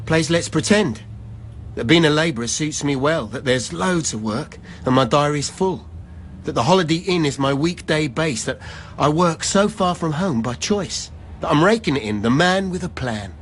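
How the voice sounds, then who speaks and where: A man's voice: on the high side, expressive, normal speed, fairly bright tone, a little hiss or hum. A man acting a proud, weary middle-aged labourer in a radio play, speaking conversational verse with wry asides. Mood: defensive, ashamed. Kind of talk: poetic radio drama acting.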